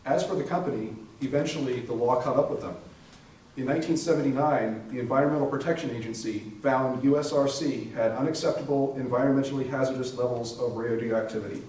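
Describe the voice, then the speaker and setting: Male stage narrator, scripted factual reading, mid pitch, picked up at a distance. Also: fast talker, a little mellow. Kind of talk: scripted narration in readers theater. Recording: distant mic, very echoey room, faint noise.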